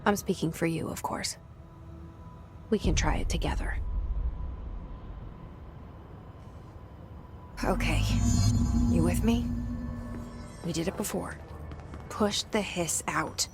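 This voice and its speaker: Wary young woman inner monologue, video game, hushed and croaky, music behind. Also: fairly low pitch, measured pace, long pauses. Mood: resolute. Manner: confiding, hushed, introspective.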